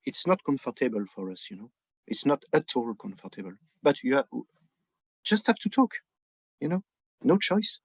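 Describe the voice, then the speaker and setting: A man from France with a French accent, giving political commentary conversationally in halting non-native English, searching for his words. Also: mid-range voice, very animated, slightly rough. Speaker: podcast guest, book editor. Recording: dull, roomy, lo-fi remote connection.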